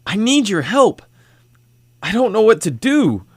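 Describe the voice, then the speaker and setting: A man acting a reluctant superhero in a radio play, sincere and unsure of himself. His dramatic delivery swings widely in pitch, recorded close and dry. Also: big pitch swings, measured pace, darkish tone, breathy edge, no room sound, heavily acted. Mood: desperate.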